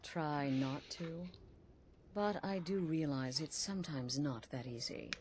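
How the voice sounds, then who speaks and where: A woman voicing a cool-headed assassin leader, briefing a recruit in a calm, dry, matter-of-fact way. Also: low-pitched, slow, slight background noise. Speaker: assassin guild leader giving orders. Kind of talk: video game character dialogue.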